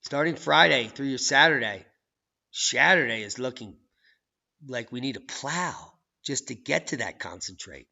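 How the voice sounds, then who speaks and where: A man hosting a channel, reading news and weather maps with sarcastic, profane commentary that swings from low mutters to loud outbursts. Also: lively intonation, a little slow, creaky, muffled recording. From United States. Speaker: channel host.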